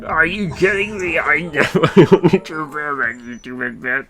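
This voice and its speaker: A man's voice: on the high side, lively intonation, moderate pace. A man voicing a crude, lustful young cartoon guy with a blunt, swaggering manner in an improvised parody dub. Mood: brash.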